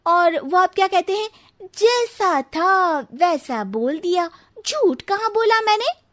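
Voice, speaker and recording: A woman's voice: extremely high pitch, lively intonation, slow pace, a bit bright, exaggerated voice. A woman voicing a shrewd young bride who speaks in a questioning, pointed way in a cartoon story. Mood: slyly pointed. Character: sharp-witted young bride, wronged but shrewd.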